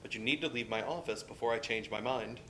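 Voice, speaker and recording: A man's voice: mid-range voice, quick pace, fairly bright tone, a little hiss or hum, lightly acted. A man acting a stern, by-the-book office boss in a dramatic play reading.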